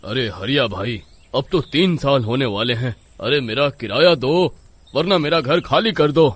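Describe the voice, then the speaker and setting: An actor playing an exasperated, grudging village landlord in a horror story, with a grumbling, complaining manner. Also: male voice, mid-range voice, lively intonation, measured pace, husky, no echo.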